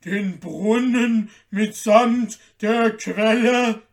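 A man voicing a huge, gruff, gullible old giant from a fairy tale, with a booming, grumbling, drawn-out delivery. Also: heavily acted. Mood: grumbling, alarmed. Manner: slow, drawn-out, emphatic delivery.

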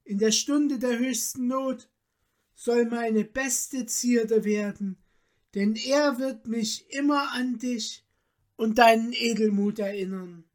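A woman reader puts on a noble countess from a storybook, lifted high in pitch. She speaks very slowly in solemn, courtly phrases. Her temper is gracious and full of feeling. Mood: grateful, solemn. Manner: courtly, old-fashioned, solemn diction. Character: gracious noble countess, deeply moved and grateful.

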